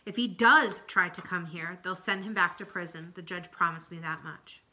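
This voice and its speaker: Woman voicing a tired, gruff old grandpa. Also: on the low side, moderate pace, pushed and bright.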